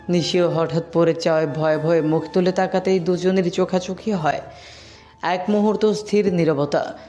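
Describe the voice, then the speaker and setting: Storyteller for a scripted audio romance, mid-pitched narration over background music. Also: warm tone.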